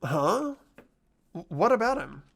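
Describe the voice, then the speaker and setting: Man playing an earnest, high-pitched teenage schoolboy. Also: slow, gravelly, full character voice. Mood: startled, disbelieving. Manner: stammering, expressive. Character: earnest, easily startled teenage schoolboy who narrates. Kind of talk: amateur voice acting in a visual novel playthrough.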